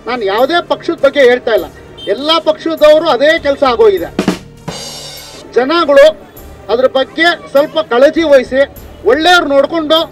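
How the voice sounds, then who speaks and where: Male street interviewee, very high voice over light music, offering an opinion. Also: moderate pace, long pauses, low-quality recording. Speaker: member of public interviewed.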